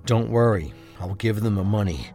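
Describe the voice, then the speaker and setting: A man's voice: on the low side, normal speed, mellow, soft background music, lightly acted. A man playing a guarded, determined husband in a crime audio drama, speaking with intensity. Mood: grim, subdued. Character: capable, determined husband of a kidnapped wife.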